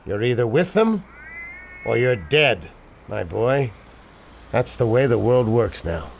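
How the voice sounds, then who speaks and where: A man's voice: mid-range voice, very animated, slow, vocal fry, slight background noise, full character voice. A man playing a cowardly, condescending older turncoat in a radio adventure, exasperated and pleading in his way of talking. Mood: menacing. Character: Herman, whiny, cowardly older turncoat pal. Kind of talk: old-time radio adventure acting.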